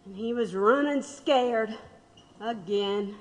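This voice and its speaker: An actress plays a condemned man's wife looking back in an audio drama. Her voice sits somewhat high, measured and full of regret and bitterness. A hollow reverb trails her words. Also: slight background noise. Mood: bitter, reflective. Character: grieving wife of a condemned man, bitter and reflective.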